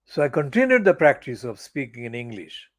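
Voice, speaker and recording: An older man delivering an angry, profane political monologue as a channel editor, stressing words slowly and deliberately. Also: medium pitch, sing-song. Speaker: channel editor giving commentary.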